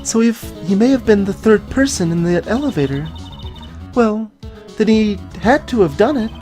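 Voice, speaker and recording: A woman's voice: mid-range voice, animated, moderate pace, warm tone, soft background music, lightly acted. A woman playing a curious, naive and playful young female sidekick in a voiced video game. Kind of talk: fan voice acting of video game dialogue.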